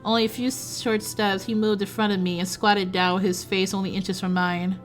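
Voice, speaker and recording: A woman streamer reading a story game aloud and reacting to it in an excitable, flustered way, often giggling. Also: medium pitch, moderate pace, faint music behind.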